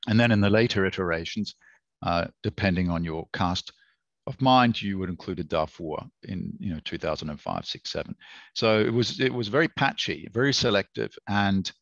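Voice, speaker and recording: Deep-voiced Australian man, dry sound. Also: vocal fry, a bit bright, no echo, muffled recording. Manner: measured, thoughtful, with frequent fillers and restarts. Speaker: author and historian guest. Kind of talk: academic conversation.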